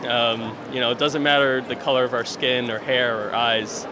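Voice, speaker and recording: Even-toned man in a casual interview. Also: mid-range voice, normal speed, background noise. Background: music.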